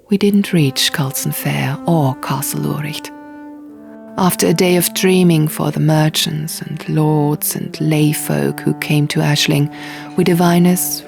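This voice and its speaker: A woman's voice: deep voice, creaky, darkish tone. A woman reading scripted first-person fantasy fiction as an audiobook narrator. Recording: music behind. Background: music.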